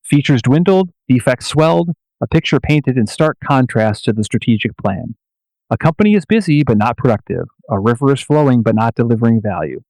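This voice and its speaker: A man narrates a radio play in a solemn, scripted style. His voice is medium in pitch and the recording is dry, with carefully measured phrases. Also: big pitch swings, darkish tone, dry recording, poor audio.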